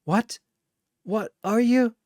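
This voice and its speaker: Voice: medium pitch, takes it slowly, a little mellow, dry recording, clean studio sound, voice pushed up, lightly acted. A narrator voicing a childish, manipulative young girl fighter with pleading, insistent delivery in a dramatic battle story. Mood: frantic, shrieking.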